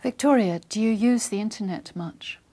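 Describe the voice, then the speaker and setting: A woman's voice: mid-range voice, mellow. Woman asking formal exam questions. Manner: formal, clear and measured. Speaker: exam interlocutor.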